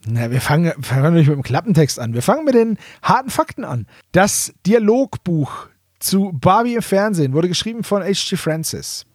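Man, slightly high voice, podcast co-host, casual conversational style, dry close recording. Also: sing-song, normal speed, a little mellow, dry recording. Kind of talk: casual chat about a radio play series.

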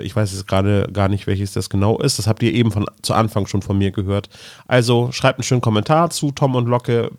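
A man's voice: on the low side, darkish tone, dry recording, studio quality. A man hosting a podcast in a casual, chatty style. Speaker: podcast host. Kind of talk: casual chat, addressing listeners.

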